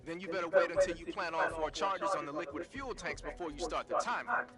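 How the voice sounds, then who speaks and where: An actor playing a brisk, knowledgeable military support officer in a video game, explaining and instructing with emphasis. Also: male voice, fairly high pitch, over a noisy crowd, close to an everyday voice. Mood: instructive. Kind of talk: video game radio briefing. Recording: loud music under the voice.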